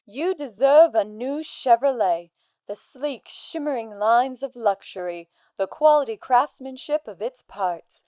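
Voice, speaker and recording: Woman acting a silky, crystal-clear radio ad announcer. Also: on the high side, lively intonation, unhurried, warm tone, dry recording. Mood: smoothly enticing. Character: sinister, coaxing female radio advertising announcer, smoothly persuasive. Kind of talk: mid-century radio commercial delivery.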